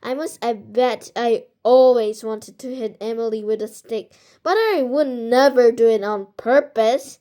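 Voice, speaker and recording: A woman reading a children's storybook aloud in a halting way, stumbling over some words. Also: on the high side, steady tone, mellow. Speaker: amateur book reader.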